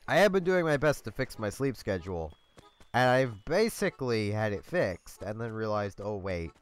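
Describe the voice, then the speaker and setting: A congested man hosting a let's play from home, rambling through casual commentary with lots of ums and small laughs. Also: on the low side.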